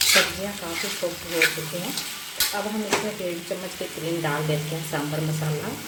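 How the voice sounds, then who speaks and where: A woman's voice: on the low side, steady tone, moderate pace. A woman presenting a recipe step by step in an instructional, explanatory way. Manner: instructional, explanatory, repeats steps. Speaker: home cook presenting a recipe. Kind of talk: step-by-step cooking tutorial. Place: indoor home kitchen. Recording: roomy kitchen echo, loud cooking noise. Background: sizzling, stirring and clicks of cooking.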